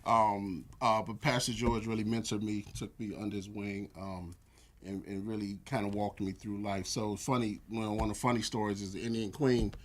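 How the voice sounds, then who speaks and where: An American man in his forties, a church elder, telling relaxed stories with lots of fillers in a casual interview. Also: lowish voice, normal speed. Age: 40s. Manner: relaxed storytelling with frequent fillers.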